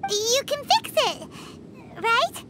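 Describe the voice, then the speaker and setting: A woman voicing a tiny, excitable, childlike girl companion creature in a video game, cheeky and chirpy, with a squeaky exclamatory delivery. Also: slow, exaggerated voice. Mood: eager. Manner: squeaky, exclamatory, speaks in third person. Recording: loud noise behind the voice.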